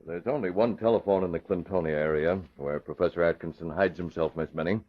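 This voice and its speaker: An actor playing a young newspaper publisher in an old radio drama, a crisp, matter-of-fact boss giving directions. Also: male voice, sits low, brisk. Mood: businesslike. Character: young, businesslike male newspaper publisher, brisk and calm boss. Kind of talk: 1940s-50s radio acting. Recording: dull vintage radio recording.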